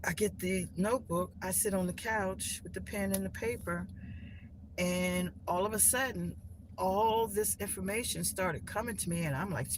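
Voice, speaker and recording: A woman hosting a live stream from her phone at home, talking casually and loosely about spiritual lessons, with many restarts and fillers. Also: mid-range voice. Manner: rambling, many restarts and "you know" fillers. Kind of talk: casual spiritual talk to viewers. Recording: clear background noise.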